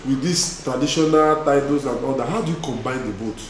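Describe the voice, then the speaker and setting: A Nigerian-accented man interviewing someone informally in a mid-pitched voice. He sounds far from the microphone in a very echoey space, with a clear crowd behind him. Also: brisk. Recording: echoey, distant mic, crowd noise behind.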